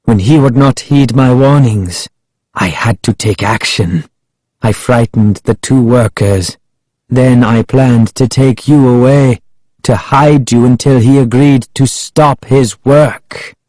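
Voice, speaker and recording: A man voicing a menacing, fanatical guardian of an ancient curse in an audiobook, proclaiming solemnly and deliberately. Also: medium pitch, warm tone, no room sound, lightly acted, rough recording. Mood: grave. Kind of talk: audiobook character acting.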